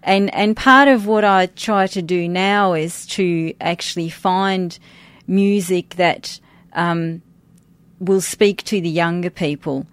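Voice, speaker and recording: A middle-aged woman speaks in a somewhat low register, recorded dry with hardly any room sound. English is her second language. She talks informally in an interview, pausing and rephrasing as she thinks aloud. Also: clean tone, no echo. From grew up outside Australia. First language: a language other than English. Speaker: interview guest, church worship team member. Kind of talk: conversational interview.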